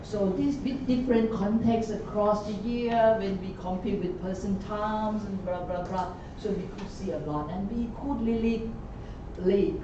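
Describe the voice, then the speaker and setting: A woman's voice: medium pitch, steady tone, measured pace, background noise. A woman panelist at a conference discussion who explains at length, often checking in with "right?". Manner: rambling explanation that keeps asking "right?". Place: conference room. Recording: echoey, dull lecture-hall sound from a distant mic.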